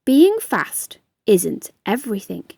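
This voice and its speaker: A woman voicing a calm, patient male snail in a storybook reading. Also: mid-range voice, vocal fry, husky, no echo, studio quality, exaggerated voice. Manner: unhurried, unbothered.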